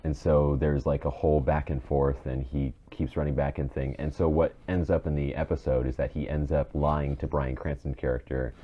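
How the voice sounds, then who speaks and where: Very deep-voiced man, rambling podcast host. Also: little pitch movement, normal speed, few pauses. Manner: rambling, repeats and restarts phrases. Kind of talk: casual chat about film and TV. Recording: dull muffled mic with faint noise.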